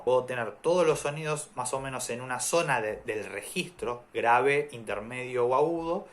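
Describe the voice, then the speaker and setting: A man at a middle pitch teaching a music theory lesson. He explains in a calm, methodical way, laying out one example after another. His delivery is steady and patient, like a teacher working through a lesson plan.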